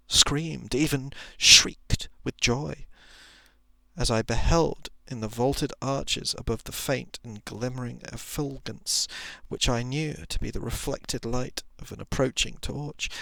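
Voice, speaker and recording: A man reading a thriller short story as ASMR, in a soft, hushed, often whispered voice with dramatic, drawn-out phrasing. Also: medium pitch, vocal fry, no room sound. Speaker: narrator.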